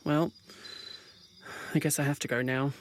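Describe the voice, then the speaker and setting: A man's voice: fairly high pitch, steady tone, unhurried, slight background noise, natural voice. A young man playing an anxious, hurt misfit swan in a modern audio drama, speaking in a hesitant, conversational way. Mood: uneasy. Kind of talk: audio drama acting, naturalistic modern dialogue.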